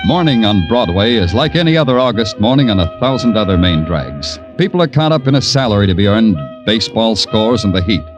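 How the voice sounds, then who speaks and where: Actor as a low-voiced, wry hardboiled radio detective. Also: male voice, fairly quick, few pauses, natural voice. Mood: wry narration. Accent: American. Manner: deadpan, sardonic. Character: weary, wry male big-city police detective narrating his case. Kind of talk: 1940s radio crime drama acting, hardboiled narration. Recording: old 1940s radio broadcast, music behind.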